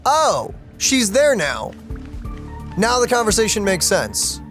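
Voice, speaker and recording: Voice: mid-range voice, wildly swooping pitch, takes it slowly, fairly bright tone. A gaming streamer giving casual commentary during a let's play. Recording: faint game music behind.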